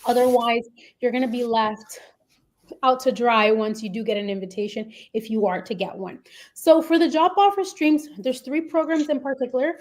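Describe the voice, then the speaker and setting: A woman's voice: fairly high pitch, normal speed. A woman running her own immigration firm, hosting a live webinar and explaining programs in a friendly way, with frequent fillers and restarts.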